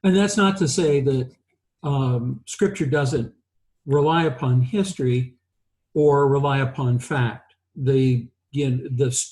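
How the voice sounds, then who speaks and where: Casting: male priest as co-teacher, very slow and measured, mid-range pitch, careful and halting. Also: a little mellow. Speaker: priest co-leading a Bible class. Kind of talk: informal explanatory teaching.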